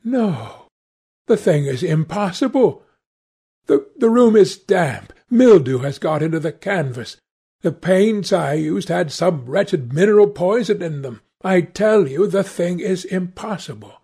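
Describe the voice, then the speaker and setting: A man's voice: high-pitched, animated, measured pace, creaky, warm tone, slightly rough, voice pushed up, full character voice. A man voicing an anguished, horrified painter of devout, moralising temper in an agitated, imploring, theatrical audiobook style. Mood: agitated denial. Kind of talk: dramatic audiobook character voice.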